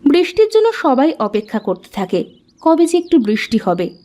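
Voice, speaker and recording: Animated woman narrating a children's story. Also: high-pitched, moderate pace.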